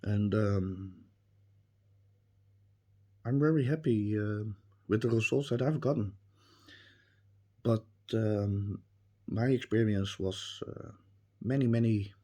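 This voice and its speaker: A man with a Dutch accent, a native Dutch speaker, giving a hesitant, earnest spoken testimonial with many pauses and restarts. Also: on the low side, dark tone. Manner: earnest and emphatic. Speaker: reviewer.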